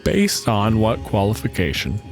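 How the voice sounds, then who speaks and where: A man's voice: on the low side, wildly swooping pitch, measured pace, music in the background. A man narrating a tabletop roleplaying game as game master, in a casual, conversational way with offhand swearing.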